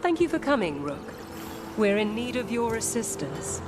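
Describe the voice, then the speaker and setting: A woman playing a composed keeper of the dead in a fantasy video game, speaking in a measured, grave and formal way. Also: on the high side, very animated, music in the background.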